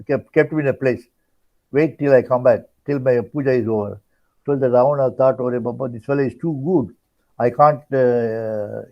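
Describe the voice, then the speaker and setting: Older male spiritual teacher, Indian accent, mid-pitched voice, halting and repetitive religious storytelling, captured in dull lo-fi audio. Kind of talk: informal religious discourse retelling an epic.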